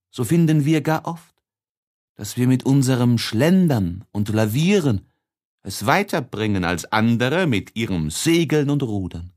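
A male narrator reads literary prose for an audiobook in a mid-pitched voice. His pace is slow and his phrasing deliberate, with expressive shaping of each sentence.

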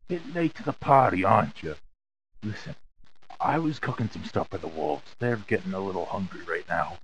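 Sardonic worker, horror game, offhand, fairly low lively voice, noisy tape. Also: male voice, normal speed. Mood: sardonic, casual. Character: sardonic park worker who feeds wolves. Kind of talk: casual dialogue in an analog horror game. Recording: noisy VHS-style tape.

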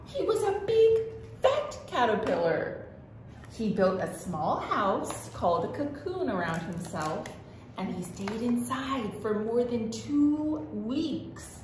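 A woman's voice: fairly high pitch, very animated, slow. A woman hosting a children's storytime as a librarian, reading aloud expressively and exclaiming as she addresses young listeners directly. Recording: echoey room with faint noise.

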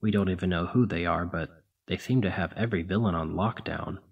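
A man playing a gruff, protective demigod hero in an ASMR roleplay, scolding yet caring, with a husky voice. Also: low-pitched, fairly quick, muffled recording, heavily acted. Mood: brooding. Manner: exasperated, bossy, caring.

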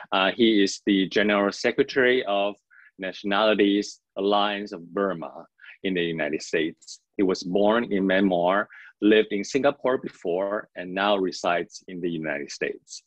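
A young man moderating an online panel, introducing guests in a measured, formal way with small fillers. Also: fairly low pitch, dry recording. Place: indoor home office on a video call. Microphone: video call. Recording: dull video call audio.

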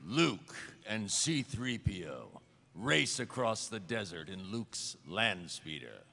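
A man narrates a script dramatically in a lowish, forceful voice. His lively delivery rings with the long reverb of a hall. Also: slow pace, gravelly.

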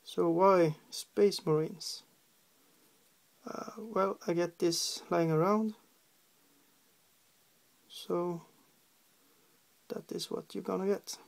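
Norwegian-accented man, slow and gravelly, halting hobby-tutorial narration at a desk. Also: high voice, fairly even intonation. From Norway. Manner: hesitant, halting, many fillers, humming while working. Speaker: hobby painter. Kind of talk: casual painting tutorial. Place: at a desk indoors.

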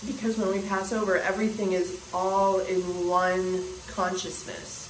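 A woman's voice: medium pitch, little pitch movement, a little slow. A woman in her forties speaking earnestly to a phone camera in a small bathroom, musing with restarts while her throat sounds scratchy. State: scratchy throat, clearing it often. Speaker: spiritual vlogger. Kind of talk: casual spiritual monologue to camera. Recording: roomy bathroom echo, faint hiss.

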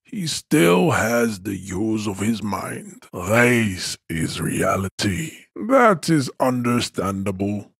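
A man's voice: lowish voice, very animated, slow pace, vocal fry, few pauses, fairly bright tone, husky, dry recording, studio quality, full character voice. An actor playing an imposing, arrogant supremacist strongman in a video game, lecturing in a pompous, formal, declamatory way with grandiose contempt. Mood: contemptuous. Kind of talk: video game character acting.

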